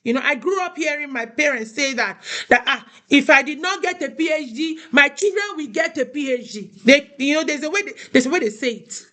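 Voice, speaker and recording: Woman from Nigeria, high voice, motivational talk, preaching, repeats phrases for emphasis. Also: a little fast, sharp tone. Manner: asks listeners "do you understand?". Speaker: host of a women's motivational show.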